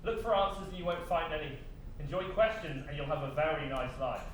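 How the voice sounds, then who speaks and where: A man acting a weary, philosophical afterlife official on stage, speaking in measured, cryptic lines. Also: on the high side, natural voice. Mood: wry, resigned. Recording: echoey stage, distant mic, faint noise.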